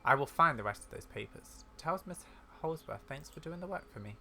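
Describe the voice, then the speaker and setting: A gamer voicing a haughty, mocking villainess, a dark rider, from a fantasy game's dialogue. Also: mid-range voice, animated, a little fast, vocal fry, slight background noise. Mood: taunting. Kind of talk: reading fantasy game dialogue aloud.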